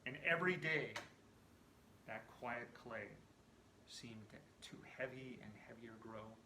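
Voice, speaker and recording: Bright-voiced man reciting dramatically in echoey room. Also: mid-range voice, a little hiss or hum. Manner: animated, theatrical storytelling with hushed phrase endings. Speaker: amateur poetry reciter. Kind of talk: dramatic recitation of a narrative poem.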